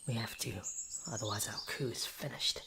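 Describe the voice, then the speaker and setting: A man playing a plotting fantasy traitor on the hunt, with a gravelly voice, in an audio roleplay. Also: lowish voice, normal speed, very noisy. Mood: frustrated, urgent. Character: plotting fantasy traitor hunting escaped quarry. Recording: loud outdoor ambience over voice.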